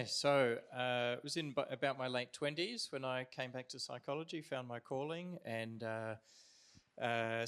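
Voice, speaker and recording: Middle-aged man, measured and hesitant. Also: medium pitch, a bit bright, clear, resonant tone. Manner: measured, many uhs. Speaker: psychologist, disaster recovery panelist. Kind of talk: panel discussion.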